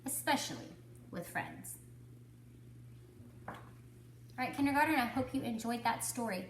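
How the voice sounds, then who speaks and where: A woman reading a children's story to kindergarteners, with deliberate, dramatic phrasing. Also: a little high, expressive, roomy sound, a little hiss or hum. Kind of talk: expressive children's story narration.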